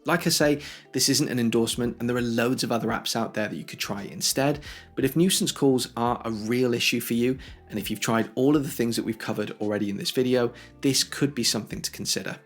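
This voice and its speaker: A man presents a how-to video in a slightly husky, mid-pitched voice. He walks through instructions step by step in a conversational manner. Faint music plays behind him. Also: fairly quick. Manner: clear step-by-step explaining, conversational. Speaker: tech tutorial host.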